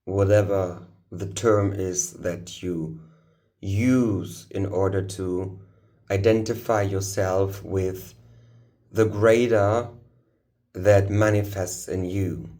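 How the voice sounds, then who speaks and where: Very slow, low-voiced man guiding meditation. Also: steady tone, dark tone. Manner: halting short phrases with long pauses, solemn. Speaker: spiritual guide leading a meditation. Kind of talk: guided meditation, channelled spiritual talk.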